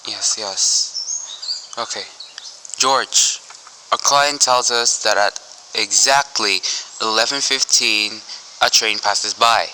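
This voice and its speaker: A man playing a businesslike, formal telephone voice in a radio thriller, speaking in a clipped, measured way. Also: lowish voice, bright, forceful tone, heavily acted, poor audio. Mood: coolly conspiratorial. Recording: faint noise with clicks.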